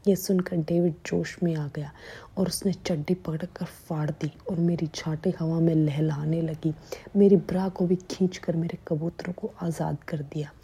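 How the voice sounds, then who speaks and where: A young woman narrating a story in a steady hushed whisper. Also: sits low, normal speed, slightly rough.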